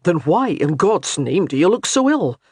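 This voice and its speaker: A woman's voice: on the low side, very animated, a little mellow, slightly rough, no room sound, studio quality. A woman doing a stern clan laird. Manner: stern, measured, warning. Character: stern grown male clan laird, gruff and patient.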